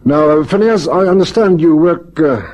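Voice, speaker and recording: An actor with a South African accent playing a brooding, probing police lieutenant in a radio crime drama, ruminative yet pressing. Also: male voice, a little high, moderate pace, a little mellow, natural voice. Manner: ruminative, hesitant, then pressing.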